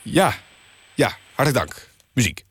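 A man's voice: mid-range voice, big pitch swings, unhurried, slightly rough. A man presenting a mock-serious radio magazine and interviewing guests from a studio.

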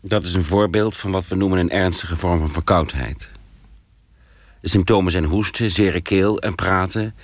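A man playing a calm, authoritative professor in a deadpan radio comedy, matter-of-fact throughout. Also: low-pitched, normal speed, dry recording.

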